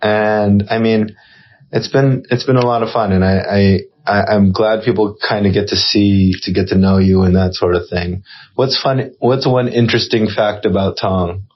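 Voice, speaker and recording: A man's voice: on the low side, steady tone, moderate pace, muffled recording, a little hiss or hum. A man hosting a casual podcast interview, encouraging his guest and summing up what she says. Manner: encouraging, affirming, sums up what he hears. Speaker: podcast host.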